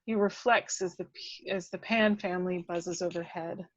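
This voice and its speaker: A woman's voice: on the low side, fairly even intonation, moderate pace, no room sound. A woman reading out the action of a screenplay as a dramatic narrator. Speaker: script narrator. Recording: dull lo-fi, dry microphone.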